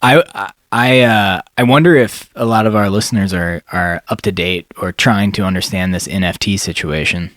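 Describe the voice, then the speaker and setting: A man's voice: sits low, normal speed, hardly any pauses, fairly bright tone, no echo, clean studio sound. A man co-hosting a comedy podcast, telling stories in a rambling way, full of fillers, and laughing easily. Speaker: podcast co-host. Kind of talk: casual comedic chat.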